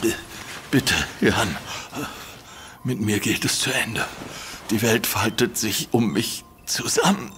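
An actor playing an infected man who fears going mad, pleading in halting, stumbling bursts in a radio play. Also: a bit bright, soft background music. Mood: desperate urgent. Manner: halting, stumbling pleas, pitch jumping when agitated. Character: infected man fearing madness, urgent and desperate.

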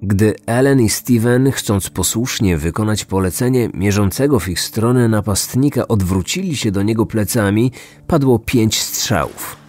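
A man's voice: fairly low pitch, moderate pace, darkish tone. A man reading scripted true-crime narration as a podcast host. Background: music.

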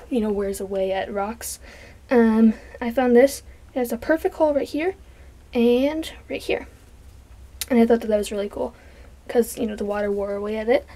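A young woman, congested from allergies, chatting casually and softly as she shows off her collection, sometimes dropping to a whisper. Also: fairly high pitch. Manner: chatty, rambling, soft, drops into whispers. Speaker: vlogger. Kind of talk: casual show-and-tell vlog. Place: bedroom. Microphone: handheld camera.

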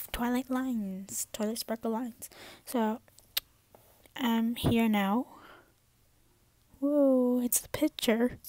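A woman's voice: fairly high pitch, dark tone, dry recording. A young woman rambling casually in an informal amateur vlog. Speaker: amateur voice impressionist. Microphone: earphone mic, phone at arm's length.

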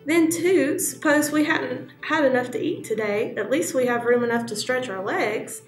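A woman's voice: high-pitched, moderate pace, echoey room, distant mic, faint music behind, lightly acted. A woman voicing a jolly, good-natured old male duck in a bedtime story, hearty and polite.

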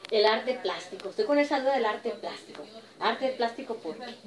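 Fast-talking playful woman host, high voice. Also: expressive, distant mic. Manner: playful, teasing, effusive. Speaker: show host, interviewer. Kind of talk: casual, chatty interviewing. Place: small indoor room. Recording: echoey room, people audible behind.

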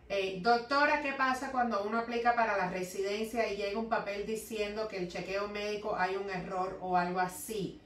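A woman's voice: mid-range voice, fairly bright tone. Woman, 30s, Cuban accent, explains and reads questions aloud; echoey room, sitting back from the webcam. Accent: Cuban Spanish. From Cuba. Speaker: immigration lawyer. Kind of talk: live Q&A, answering viewer questions. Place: law office, indoors. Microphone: webcam at desk distance. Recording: echoey office, voice a bit far from mic.

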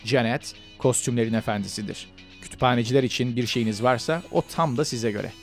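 A man's voice: mid-range voice, brisk, loud music behind the voice. A man reading scripted narration as the narrator of a countdown video.

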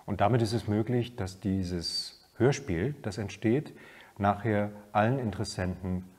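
Older man with a low, measured voice. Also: a little mellow, husky, big-room sound. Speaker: theatre maker. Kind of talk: making-of interview.

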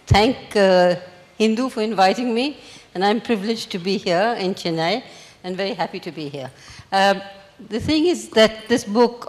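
An elderly woman from India speaks at a measured, thoughtful pace into a handheld microphone on a large festival stage. Her words carry a long hall reverb behind them. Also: mid-range voice. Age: 80s. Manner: measured, reflective storytelling. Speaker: panelist, memoir author. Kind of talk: panel discussion. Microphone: handheld mic.

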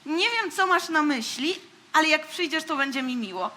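A woman's voice: high-pitched, animated, normal speed, bright, forceful tone. A woman playing a small, naive, friendly and easily hurt alien girl in a children's radio play, with a childlike, plaintive delivery. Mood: pleading, wistful.

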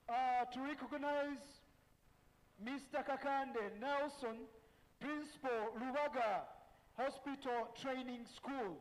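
High-pitched Ugandan man, booming event reverb. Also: steady tone, takes it slowly. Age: middle-aged. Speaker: master of ceremonies. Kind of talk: ceremonial announcing at a graduation. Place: large event tent. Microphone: handheld microphone. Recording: reverberant PA sound in large tent.

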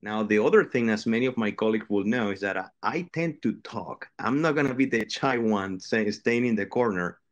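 Middle-aged guy from venezuela on a video call, kinda low voice, earnest, keeps restarting sentences. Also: lively intonation, fairly quick, few pauses. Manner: earnest, restarts phrases often. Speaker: engineering professor on a panel. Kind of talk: panel discussion answer.

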